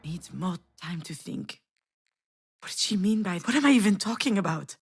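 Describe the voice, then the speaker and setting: A woman's voice: mid-range voice, animated, normal speed, breathy edge. A woman playing a troubled, self-doubting, grieving mother in a narrative video game, speaking introspectively. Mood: confused, anguished. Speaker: voice actor in a visual novel game. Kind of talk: dramatic narrative game dialogue and introspective monologue.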